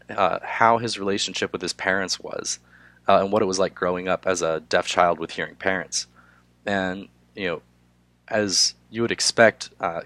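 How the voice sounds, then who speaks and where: Hoarse young man with a cold. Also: deep voice, fairly bright tone, dry recording. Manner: halting, many filler "uh"s. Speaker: student presenter. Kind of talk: class presentation.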